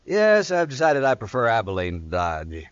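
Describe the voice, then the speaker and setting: An actor playing a proud, wealthy Old West gambler, self-assured and plainspoken, in an old-time radio western. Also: male voice, medium pitch, wildly swooping pitch, moderate pace, clean tone, dry recording. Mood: smooth, casual. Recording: dull vintage radio recording.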